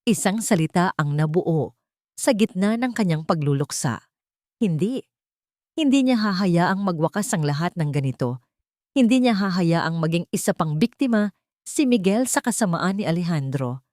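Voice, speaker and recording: A woman reading a scripted dramatic story in a measured, deliberate way, with short pauses for effect. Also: on the low side, animated, a little mellow, no room sound, studio quality. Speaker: story narrator.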